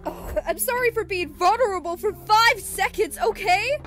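A woman voicing a moody, defiant teenage robot girl in a sarcastic, dramatic way for an animated fan dub. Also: very high-pitched, moderate pace. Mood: hurt, sarcastic. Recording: music behind.

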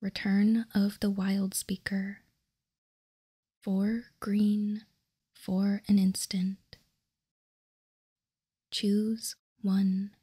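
A woman doing whispered ASMR in a soft, breathy, unvoiced whisper, reading out card text calmly and deliberately, close to the microphone. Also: medium pitch, no echo. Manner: slow, deliberate, calm reading. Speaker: ASMR video host. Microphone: close microphone, dry sound.

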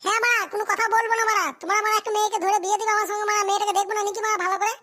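This is a cartoon young bridegroom, brash and impatient. The voice is extremely high and piercingly bright, and it races along. He talks in crude, blunt bursts of complaint. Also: level tone, rapid-fire. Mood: eager, impatient. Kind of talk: comedy cartoon dialogue.

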